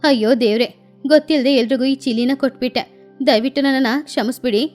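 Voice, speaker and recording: A woman's voice: high voice, a little fast, darkish tone, faint music behind, close to an everyday voice. A woman voicing a stingy, scheming female crow with a brazen, bossy manner in a children's bird story. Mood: apologetic.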